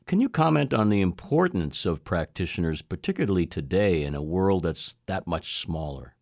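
Very deep-voiced male interviewer. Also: lively intonation, moderate pace, darkish tone, no room sound, clean studio sound. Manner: conversational, leading questions. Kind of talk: interview questions.

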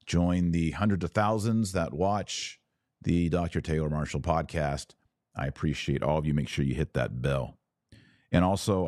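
A man's voice: extremely low pitch, a little mellow, no room sound, clean studio sound. A man hosting a religious commentary podcast, speaking to camera in an emphatic, didactic way with rhetorical questions. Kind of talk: opinionated religious commentary to camera, reading aloud at times. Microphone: close mic at a webcam setup.

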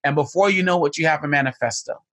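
A man's voice: fairly high pitch, fast talker, fairly bright tone. Earnest man, emphatic repeats. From United States. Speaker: guest, educator on hate and extremism. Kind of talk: interview answers.

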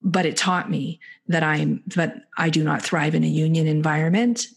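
A woman's voice: low voice, moderate pace, creaky, husky. A Canadian woman co-hosting a podcast, reflective and searching for words with many fillers. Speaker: podcast co-host. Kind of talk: casual podcast conversation.

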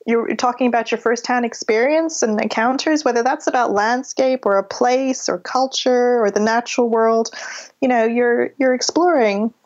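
A woman's voice: a little high, normal speed, darkish tone. A middle-aged woman writer with a mixed British and Canadian accent, talking thoughtfully in an interview, often restarting her sentences. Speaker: writer guest. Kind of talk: interview conversation. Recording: dull lo-fi audio.

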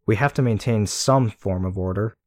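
A man's voice: fairly low pitch, normal speed, natural voice. Man reading a courteous, formal heroine. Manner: courteous, procedural. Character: polite, formal adult heroine.

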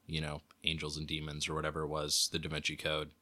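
Deep, bright-edged man bantering casually. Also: monotone, fast talker. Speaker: podcast co-host. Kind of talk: casual comedic chat.

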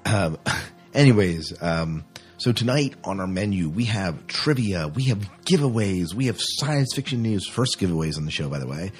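A man's voice: deep voice, lively intonation, normal speed, soft background music. A man hosting a podcast in casual chat, rambling along in a jokey way. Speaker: podcast host.

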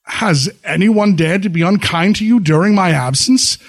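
A steady samurai husband speaks with formal, considerate calm, as a male narrator voices him. His tone is fairly high for a man and stays even-tempered. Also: normal speed. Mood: earnest. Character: gentle, honorable samurai husband.

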